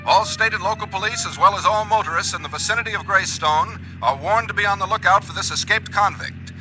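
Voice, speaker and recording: 1940s radio newsman, high voice, extremely bright and forceful, urgent, emphatic, formal, old recording. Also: quick pace, soft background music, low-quality recording. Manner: emphatic, formal bulletin delivery. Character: urgent male radio newscaster warning of fugitive. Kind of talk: 1940s radio news bulletin acting.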